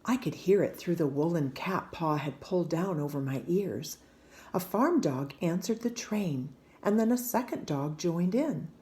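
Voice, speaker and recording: A woman reading a children's picture book aloud in a gentle, hushed and expressive storytelling manner. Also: fairly low pitch, measured pace. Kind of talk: gentle scripted read-aloud narration.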